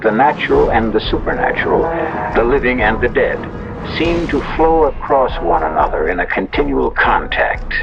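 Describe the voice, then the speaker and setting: A man hosting a radio mystery series, reading dramatic narration in a solemn, theatrical, deliberate way. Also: mid-range voice. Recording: dull vintage radio recording.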